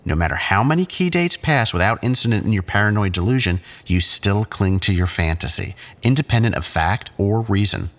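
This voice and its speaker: A male actor playing a condescending, controlling psychiatrist who talks in patronizing, measured therapy-speak in an audio drama. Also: on the low side, animated, fairly bright tone. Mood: clinical, controlling.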